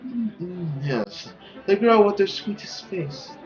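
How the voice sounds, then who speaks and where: A performer voicing a suave, courtly French marquis, gracious and gently condescending in theatrical, flowery, unhurried speech. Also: medium pitch, animated, voice pushed up. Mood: gentle, charming. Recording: dull lo-fi audio with faint music.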